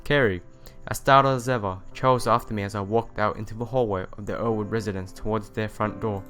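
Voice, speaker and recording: A man's voice: fairly low pitch, fairly even intonation, a little fast, a bit bright, faint music behind. A man hosting a let's play, reading visual novel narration aloud and sometimes stumbling and correcting himself.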